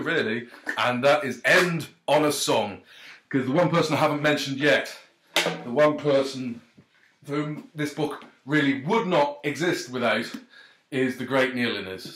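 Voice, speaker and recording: A man speaking at an ordinary middle pitch, chatting to the camera with lots of ums and uhs and the odd self-mocking joke. The sound bounces around a roomy, echoey space. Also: noisy background. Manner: self-deprecating, jokey asides. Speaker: author. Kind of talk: casual direct-to-camera thank-you and book reading. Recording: roomy echo.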